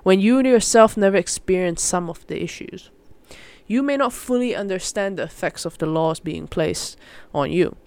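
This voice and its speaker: Young podcaster with a smooth, clear voice. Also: mid-range voice, lively intonation, moderate pace, darkish tone, no echo. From Malaysia. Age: young adult. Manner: rambling, informal, self-interrupting, with mild slang. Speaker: podcast host. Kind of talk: casual solo chat.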